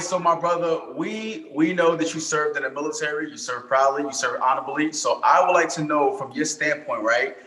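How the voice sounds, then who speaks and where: A man's voice: medium pitch, fairly bright tone. An American man in his thirties, hosting a podcast and interviewing in a casual way. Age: 30s. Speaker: podcast host. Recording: echoey room.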